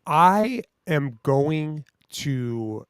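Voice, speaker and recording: A man's voice: on the high side, big pitch swings, extremely slow, clean tone, dry recording, studio quality. A man co-hosting a podcast who talks in an upbeat, encouraging way and uses casual slang. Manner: upbeat, motivational, calls listeners "bro" and "dude". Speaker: podcast co-host.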